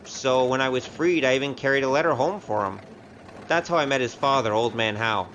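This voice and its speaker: A breezy rogue of an adventurer, played by a man, talks in a casual, wry way, as if chatting on the road. His voice sits at an ordinary male pitch in this audio drama, over clearly audible noise. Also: fairly quick, a bit bright, muffled recording, natural voice. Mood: chatty, wry.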